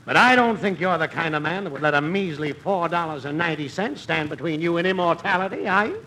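Grandiose male swindler, high swooping voice, theatrical coaxing, vintage radio comedy, faint recording noise. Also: expressive, fast, bright, forceful tone, low-quality recording. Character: fast-talking, wheedling, grandiose male swindler friend. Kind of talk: 1940s American radio comedy acting.

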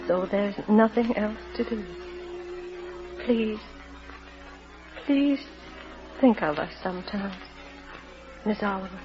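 A woman's voice: mid-range voice, takes it slowly, pauses often, music in the background, natural voice. A woman acting a devoted young wife and mother in a vintage radio drama, theatrical and heartfelt. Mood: grieving. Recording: muffled old radio recording.

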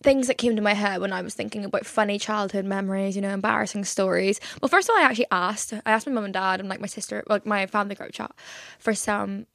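Chatty young woman with a gravelly edge. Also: mid-range voice, fast, fairly bright tone, no room sound, studio quality. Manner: chatty, swears casually, laughs often. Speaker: podcast host. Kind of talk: casual chat.

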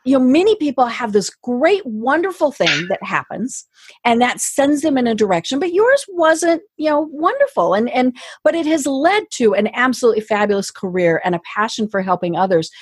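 A woman's voice: fairly high pitch, sing-song, a little fast, husky, no echo, studio quality. A woman hosting an interview podcast in a chatty, conversational way, with an enthusiastic, gushing manner. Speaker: podcast host.

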